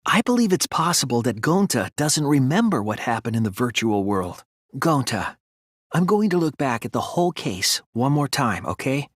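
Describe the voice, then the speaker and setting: Young anime-game detective, earnest careful reasoning, husky voice, really dry sound. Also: male voice, medium pitch, sing-song, moderate pace, no room sound. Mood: gentle, serious. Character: earnest young male detective student, analytical and soft-spoken. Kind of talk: video game voice acting, deductive explanation.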